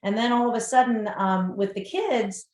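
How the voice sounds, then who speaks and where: A middle-aged American woman, a guest in an interview, telling her story in a warm, conversational way with occasional stumbles. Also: fairly high pitch, moderate pace. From United States. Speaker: guest entrepreneur. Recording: echoey room.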